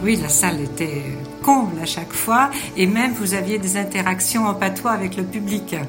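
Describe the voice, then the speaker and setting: A woman's voice: mid-range voice, normal speed. A woman hosting a local radio show, introducing her guest and asking prepared questions. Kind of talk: radio interview. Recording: radio studio with music behind.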